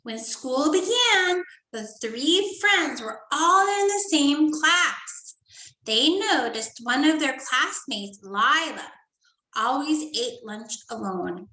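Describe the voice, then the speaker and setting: Slow bright-voiced woman teacher. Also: high voice, lively intonation, echoey room, rough recording. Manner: slow, gentle, talks to children with frequent "okay?" checks. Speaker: first grade teacher. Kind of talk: reading a story and explaining a study guide to young children.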